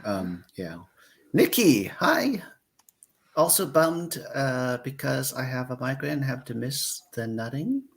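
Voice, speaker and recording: Male stream host, unhurried, hesitant and playful, pitch moving a lot. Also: very animated, gravelly. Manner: hesitant, many ums and uhs, playful. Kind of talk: casual chat.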